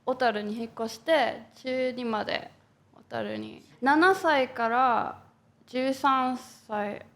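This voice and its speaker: Young woman, from Japan, slightly high voice, chatty, bilingual chat, interview guest. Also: a little slow, gravelly, fairly bright tone. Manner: chatty, switches between English and Japanese, laughs often. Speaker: guest singer.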